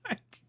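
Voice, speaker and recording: A man's voice: sharp tone, no echo, clean studio sound. A man hosting a casual livestream, talking bluntly with lots of slang, swearing and sardonic exaggeration. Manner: sardonic, hyperbolic. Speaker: livestream host. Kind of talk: casual livestream rant, chatting with viewers.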